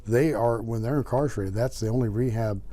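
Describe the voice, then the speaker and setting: An older man, a county sheriff, giving unscripted interview answers in a halting way, repeating and rephrasing. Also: medium pitch, dark tone, a little hiss or hum.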